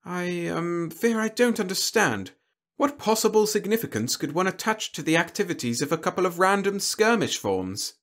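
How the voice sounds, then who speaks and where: A man's voice: sits high, very animated, normal speed, a bit bright, voice pushed up, exaggerated voice. A man voicing a genteel, pompous alien warlord in an audiobook, with fussy, over-polite, mannered speech. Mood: puzzled. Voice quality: scratchy. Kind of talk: audiobook character dialogue.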